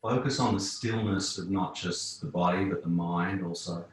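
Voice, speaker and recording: A man teaching yoga, guiding relaxation and breathing in calm, unhurried phrases with long pauses. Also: low voice, slight background noise. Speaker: yoga instructor. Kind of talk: guided yoga relaxation instruction. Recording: very echoey room.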